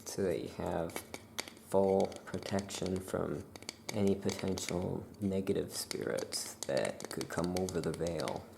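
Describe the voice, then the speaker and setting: A soft ASMR roleplay voice, playing a witch who keeps a shop. It is deep and gravelly, with a gentle, hushed delivery that moves very slowly. A faint ambient noise sits behind it.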